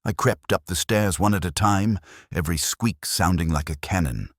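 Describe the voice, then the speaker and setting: A man's voice: low-pitched, normal speed, no room sound, studio quality. A man narrating a hardboiled first-person detective story in the manner of an audiobook.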